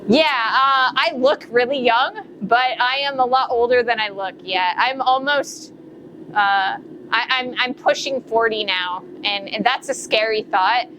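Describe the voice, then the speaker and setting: A woman's voice: fairly high pitch, a little slow, sharp tone, a little hiss or hum, rough recording. A woman in her late thirties chatting casually on a live stream while forging, rambling with many fillers and self-corrections. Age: 30s. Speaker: blacksmith streamer. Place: forge workshop.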